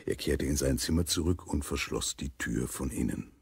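A man narrating a scripted children's audio story in a husky voice. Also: extremely low pitch, normal speed, a little mellow, no echo.

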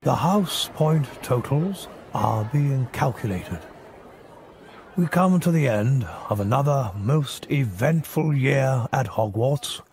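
A wise old headmaster of a wizard school in a video game. He speaks very deliberately and grandly, with wide swings of pitch and long pauses, over faint ambient noise. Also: expressive, darkish tone. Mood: ceremonial. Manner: stately, deliberate, with weighty pauses. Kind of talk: ceremonial speech in a video game cutscene.

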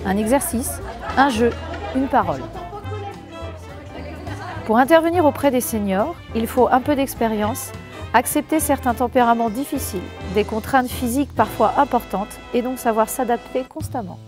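A woman's voice: on the high side, normal speed, a crowd in the background. A woman in her forties giving a prepared, explanatory testimonial as a fitness instructor. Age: 40s. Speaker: senior fitness instructor being interviewed. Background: music.

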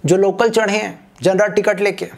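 A young man doing a stand-up comedy set on a small stage, telling an animated story and acting out other people's voices. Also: high voice, normal speed, vocal fry. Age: young adult. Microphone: handheld mic. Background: audience laughter.

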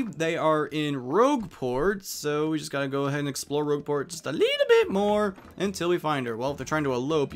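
A man giving casual, jokey, rambling commentary as host of a game playthrough. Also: a little high. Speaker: let's play host.

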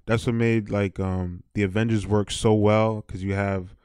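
A man's voice: lowish voice, little pitch movement, a little slow, darkish tone, clear, resonant tone, no echo, studio quality. A man co-hosting a podcast, giving opinions in a casual, conversational way. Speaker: podcast co-host.

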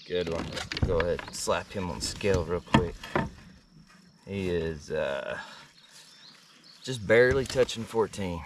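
A man's voice: low voice, a little hiss or hum. A man, chatting casually and slangily while fishing from a kayak. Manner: laid-back, slangy. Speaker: kayak angler and vlogger. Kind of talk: casual fishing vlog chat. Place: outdoors in a kayak on a river. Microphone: action camera mounted on the kayak. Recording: outdoor action camera, distant at times.

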